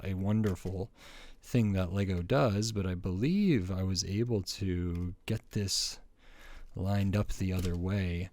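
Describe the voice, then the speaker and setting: A middle-aged man giving a casual hands-on review, speaking in a halting, hesitant way with frequent pauses. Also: sits low, darkish tone, no room sound. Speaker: toy review channel host.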